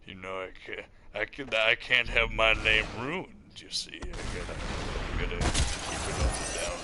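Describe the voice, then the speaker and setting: A teenage boy hosting a gaming channel, rambling and joking over his gameplay with loud sudden reactions and self-aware asides. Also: lowish voice, expressive, normal speed. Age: teens. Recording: clear background noise.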